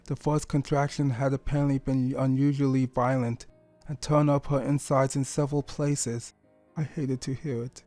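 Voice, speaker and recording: A man acts out a middle-aged father pony in a fan story, loving and weighed down by grief. His voice is mellow and flat in melody, and he speaks tenderly and earnestly. The sound is dry and close, with faint music behind it. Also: mid-range voice, dry recording, close to an everyday voice. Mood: sorrowful.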